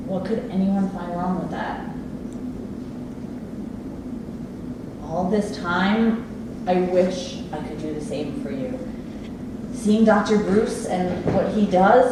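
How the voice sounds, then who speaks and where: Stage play role: wistful, quirky immigrant housekeeper played by a woman, unhurried and halting, heard from afar over clear noise. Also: mid-range voice, close to an everyday voice. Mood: tender, earnest. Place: live staged reading in an echoey theater space. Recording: distant, very echoey noisy room recording.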